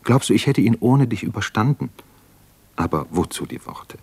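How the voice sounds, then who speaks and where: An actor playing an earnest, homesick young doctor and poet in a reflective, intense 1950s German radio drama style. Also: male voice, medium pitch, lively intonation, normal speed, darkish tone, breathy edge. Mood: agitated, pleading.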